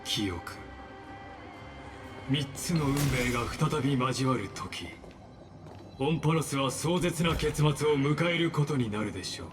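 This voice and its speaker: An actor playing a cryptic, composed scholar antagonist in a video game, speaking with formal, measured politeness. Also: male voice, mid-range voice, expressive, close to an everyday voice. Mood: grave, portentous. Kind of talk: Japanese video game dub acting. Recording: game music behind the voice.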